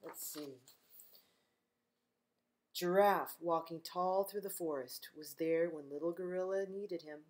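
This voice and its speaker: Slow-paced woman reading to children. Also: on the low side. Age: middle-aged. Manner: gentle, expressive, addressing young children. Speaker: librarian reading aloud to children. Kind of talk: picture-book read-aloud. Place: living room at home, indoors.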